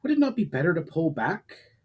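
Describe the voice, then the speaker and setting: A man's voice: a little high, big pitch swings, fairly quick, husky, voice pushed up. A man voicing an agitated, deferential young army officer pleading urgently in a fantasy story. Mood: anxious, deferential.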